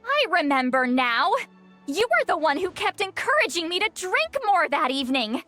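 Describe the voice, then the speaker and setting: A woman's voice: extremely high pitch, very animated, normal speed, pushed and bright, soft background music, exaggerated voice. A woman voicing a sly, teasing self-styled granny in a video game, knowing and accusatory. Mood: teasing accusation.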